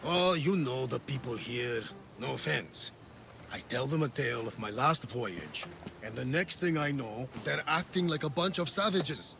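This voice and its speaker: An actor playing a boisterous, wry, one-eyed older sea captain in a video game, telling things in a theatrical, gravelly voice. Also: male voice, mid-range voice, animated, moderate pace, background noise. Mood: grim storytelling. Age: middle-aged. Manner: theatrical, hearty storytelling. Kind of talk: video game cutscene acting.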